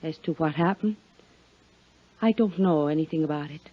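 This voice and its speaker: A woman's voice: deep voice, normal speed, no echo. A woman playing a cool, coy, hard-headed businesswoman in a 1950s radio drama, speaking with poised, teasing intimacy. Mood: guarded, cool. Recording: muffled vintage 1950s radio recording.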